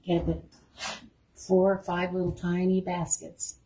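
A woman's voice: fairly low pitch, completely flat delivery, measured pace, warm tone. Chatty woman curator in an echoey room. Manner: chatty, lingers on exhibits, laughs easily. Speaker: museum curator giving a tour. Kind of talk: casual guided tour talk. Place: indoor museum room. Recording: roomy, distant mic, faint noise.

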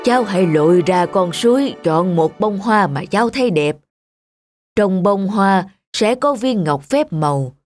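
A woman voicing a wise, kindly old male fairy with slow, measured speech in a cartoon fairy tale. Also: mid-range voice, lively intonation, lightly acted. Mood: wise, instructing. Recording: music under the voice.

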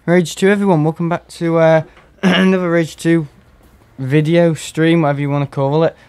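Hoarse man streaming a game. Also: a little high, flat delivery, normal speed, a little mellow. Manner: rambling, frequent throat clearing. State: hoarse with a cough. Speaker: gaming streamer. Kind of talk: casual live gameplay commentary.

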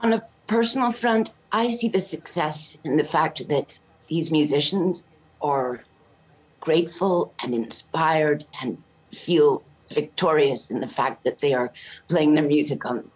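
A woman's voice: deep voice, low-quality recording. A middle-aged Canadian woman, an orchestra conductor, speaking earnestly and with passion in a remote news interview over a video call from a room at home. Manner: earnest and impassioned.

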